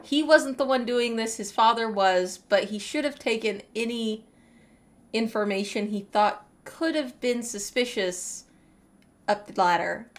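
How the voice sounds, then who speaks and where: Higher-pitched woman streamer commenting casually. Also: a little slow. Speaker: gaming streamer. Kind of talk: casual live commentary on game story.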